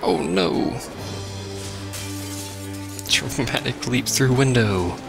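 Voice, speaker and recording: A man's voice: medium pitch, lively intonation, drawn-out words, creaky. A man giving casual, sarcastic commentary as he plays a video game. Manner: sarcastic, wry. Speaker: let's play host. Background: game music.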